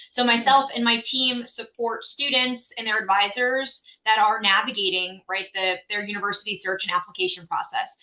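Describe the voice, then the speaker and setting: A woman presents a software platform on a livestream, upbeat and enthusiastic, with many fillers. Her voice is bright and sits fairly high. The sound is echoey, as from a bare room. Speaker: director at an education software company.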